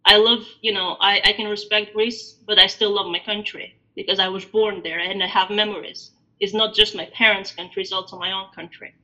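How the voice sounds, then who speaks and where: A woman in her thirties from Albania, a podcast guest telling her story in a reflective, halting way with many fillers. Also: mid-range voice, fairly even intonation, sharp tone. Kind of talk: podcast interview. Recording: dull lo-fi audio.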